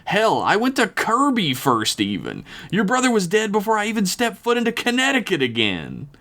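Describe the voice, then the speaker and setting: A man's voice: mid-range voice, very animated, normal speed, a bit bright. A man voicing a scornful, exasperated male fighter in a story, sarcastic and bellowing. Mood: angry.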